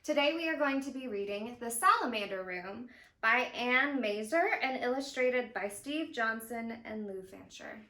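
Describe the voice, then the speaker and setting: Woman doing storytime for little kids, really bright voice, careful expressive delivery, echoey room. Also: fairly high pitch. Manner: clear, deliberate, expressive phrasing for young children. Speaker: zoo educator reading a picture book. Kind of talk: children's storytime read-aloud. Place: living room at home, indoors. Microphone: camera a few steps away. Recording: roomy echo of a home interior.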